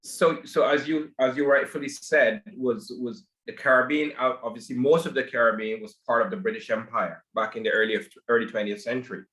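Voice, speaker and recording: Male guest, halting delivery with many restarts, roomy echo, mid-pitched voice. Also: steady tone, fairly bright tone. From Barbados. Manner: hesitant, repeats words often. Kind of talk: podcast interview. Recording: echoey room.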